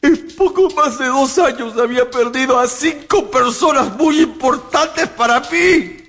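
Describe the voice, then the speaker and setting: A man acting a grief-stricken, vengeful young man in a dramatized radio novel, speaking in a theatrical, slow and emphatic way. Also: very high-pitched, fairly bright tone, heavily acted. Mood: grieving.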